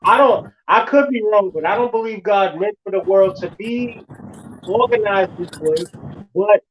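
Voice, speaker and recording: A man's voice: sits high, moderate pace, a little hiss or hum, low-quality recording. A man in his forties talking casually, repeating phrases for emphasis as he makes his point. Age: 40s. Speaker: host. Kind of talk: casual chat.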